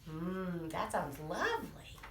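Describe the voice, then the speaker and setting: A woman voicing a calm, comforting mother monster who answers her child in a soft, reassuring way. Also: lowish voice, slow pace, recorded from a distance, a little hiss or hum, pitched below the performer's own voice, full character voice. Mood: gentle. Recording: echoey room, voice off-mic.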